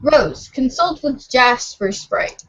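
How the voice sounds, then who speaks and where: High-voiced woman reading narration slowly. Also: lively intonation. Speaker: narrator in a readers' theater show. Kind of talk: scripted narration, amateur read-aloud. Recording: muffled lo-fi audio.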